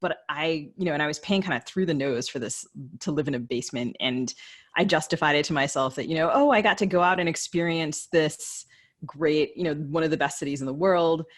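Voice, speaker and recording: A woman with an American accent hosts a podcast interview in an informal, chatty way. She builds long questions out of personal asides and gentle self-mockery. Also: sits low, brisk. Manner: wordy, self-deprecating, informal.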